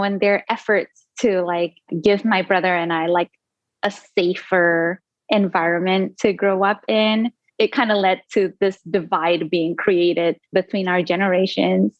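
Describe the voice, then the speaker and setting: A woman's voice: mid-range voice, no room sound. Brief: young woman author, chatty and filler-heavy, dry close sound, lo-fi call audio. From Indonesia. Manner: chatty, many fillers and laughs. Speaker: author guest. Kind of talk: casual interview chat.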